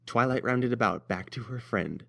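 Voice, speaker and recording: A man's voice: medium pitch, moderate pace, gravelly, fairly bright tone. A man reading scripted story narration as the narrator.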